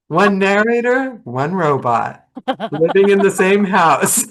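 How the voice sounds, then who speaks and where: A woman hosting a casual interview over a video call, playful and quick to laugh. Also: medium pitch, lively intonation, crowd noise, low-quality recording. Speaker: podcast host.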